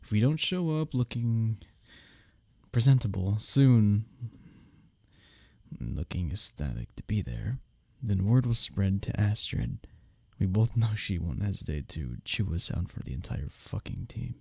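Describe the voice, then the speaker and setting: A man playing a smug, taunting office rival in an intimate roleplay audio drama, sardonic and teasing, with a husky low voice. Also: expressive, measured pace, a little mellow, no room sound, clean studio sound, exaggerated voice. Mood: irritated. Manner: sardonic, teasing, needling, with breathy chuckles.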